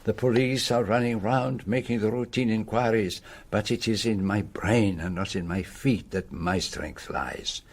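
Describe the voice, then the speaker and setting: An actor playing a cerebral, self-assured Belgian detective in a radio play, speaking precisely and formally with a French-speaking Belgian accent. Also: male voice, on the low side. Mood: measured, self-assured. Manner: precise, deliberate, formal phrasing. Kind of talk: radio drama acting.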